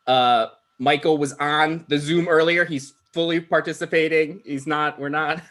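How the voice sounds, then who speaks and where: Halting, high-pitched man hosting a livestream. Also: fairly even intonation, fairly bright tone. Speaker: host and writer introducing a table read. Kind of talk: casual live introduction. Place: video call.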